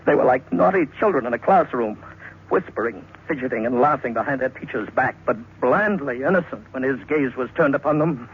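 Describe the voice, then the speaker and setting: A man narrating radio horror in a theatrical 1940s style, suspenseful, with deliberate pauses. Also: mid-range voice, expressive, a little hiss or hum. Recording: tinny vintage radio recording, music behind.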